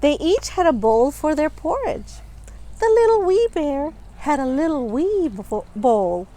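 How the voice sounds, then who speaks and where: Female storytime reader, lively intonation and unhurried delivery, clearly stressing key words for small children. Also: high-pitched. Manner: expressive, deliberate, stressing and stretching words for young listeners. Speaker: storytime reader, teacher reading a picture book aloud. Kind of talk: children's storybook read-aloud with asides about the pictures.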